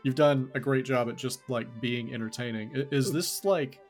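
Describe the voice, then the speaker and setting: A man's voice: mid-range voice, moderate pace, faint music behind. A man hosting a casual podcast interview, asking loose, rambling questions. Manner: relaxed, rambling questions with many likes and restarts. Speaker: podcast host.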